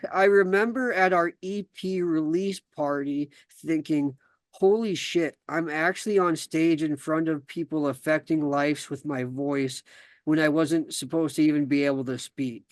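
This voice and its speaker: A man's voice: on the high side, a little slow, dry recording, studio quality. A young man from South Dakota hosting a podcast, talking casually with many fillers and restarts, then reading his own story aloud. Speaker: podcast host.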